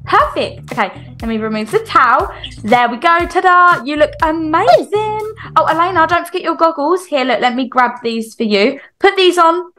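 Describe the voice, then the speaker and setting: A woman roleplaying a doting mother, cheery, reassuring and chatty in an animated improvised style for children. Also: high voice, normal speed, soft background music. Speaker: host of a Roblox family roleplay.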